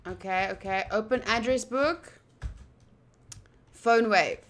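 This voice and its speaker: A woman doing casual playthrough commentary of a visual novel, reading game text aloud and thinking out loud with excitable energy. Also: on the high side, takes it slowly. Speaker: streamer playing a visual novel.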